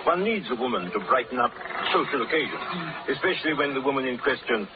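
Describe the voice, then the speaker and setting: A scratchy, tinny radio recording carries a man acting a genteel English lord. He talks quickly and gallantly, with a lively lilt and polished flattery. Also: mid-range voice, close to an everyday voice. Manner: polite, genteel, florid.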